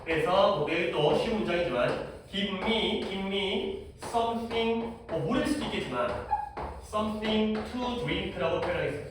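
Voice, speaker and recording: A man teaches a grammar lesson in a relaxed, chatty way, asking his students quick questions. His voice sits high and moves around a lot. He is picked up from a distance in a classroom that rings with echo. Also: noisy background. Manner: casual explaining, asks students questions. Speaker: English teacher. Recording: echoey classroom from far off.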